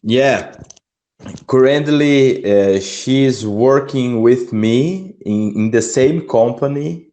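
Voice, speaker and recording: A young Brazilian man with a Portuguese accent, speaking haltingly as a student in an online English lesson over a video call. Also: mid-range voice, warm tone. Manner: halting, searching for words. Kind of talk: online language lesson conversation.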